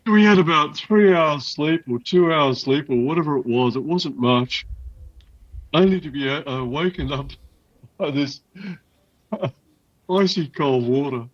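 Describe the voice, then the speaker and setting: A man's voice: a little high, a little slow, long pauses, no room sound, dull audio. An older man telling stories as an interview guest, reminiscing in a casual conversational way. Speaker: interview guest, loudspeaker designer.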